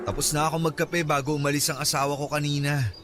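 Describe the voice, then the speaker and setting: A man's voice: a little high, level tone, quick pace, very noisy, lightly acted. An actor playing a polite, slightly flustered married man in an audio drama, talking in a hesitant way. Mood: awkward. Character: polite, awkward married man fixing a faucet. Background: background music.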